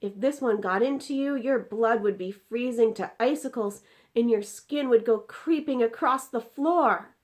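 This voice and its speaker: A woman's voice: a little high, unhurried, a little mellow, voice pushed up, natural voice. A woman voicing an indignant, distressed giant from a storybook, exclaiming in mangled grammar and drawing out words emphatically. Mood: exasperated, dramatic.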